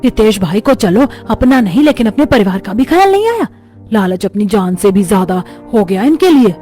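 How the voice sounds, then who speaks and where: A woman's voice: medium pitch, big pitch swings, moderate pace, dark tone, natural voice. A woman voicing a dismayed, gossiping village woman in a cartoon story, lamenting with disapproval. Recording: music behind the voice.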